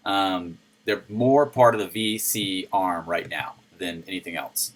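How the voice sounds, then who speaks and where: A man with a low voice hosting a casual crypto news video, reading and commenting on articles in a chatty, playful way. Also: measured pace, poor audio. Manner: chatty and playful, with sudden shouted jokes.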